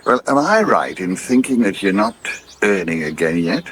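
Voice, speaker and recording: An actor playing a kindly, proud old farmer, speaking gently and haltingly in a radio drama. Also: male voice, low-pitched, big pitch swings, breathy edge, heavily acted. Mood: earnest, persuasive. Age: 70s. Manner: gentle, plain-spoken, halting. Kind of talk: naturalistic radio drama acting. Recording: 1980s radio drama broadcast.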